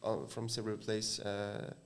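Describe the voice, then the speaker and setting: Halting French man, casual chat. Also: on the low side, monotone, a bit bright. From France. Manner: halting, searching for words, many "uh" fillers. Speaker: guest.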